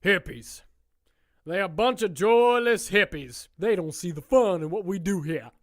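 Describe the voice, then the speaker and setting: A sinister warden of a prison circus, played by an actor, speaking at a middling pitch with a theatrical drawl. The delivery is glib and folksy, full of smug mock politeness, in the style of a scripted audio drama. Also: expressive, unhurried, lightly acted.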